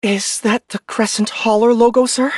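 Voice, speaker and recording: A man voicing a loyal, dutiful young woman lieutenant who speaks in a high, pressed voice. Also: fairly even intonation, moderate pace, no echo, clean studio sound. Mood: anxious, urgent.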